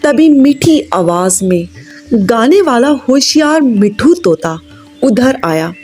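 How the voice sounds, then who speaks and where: A woman's voice: high voice, a little mellow. A woman reading a scripted children's moral story as its narrator, with music behind her. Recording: music bed under the voice. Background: background music.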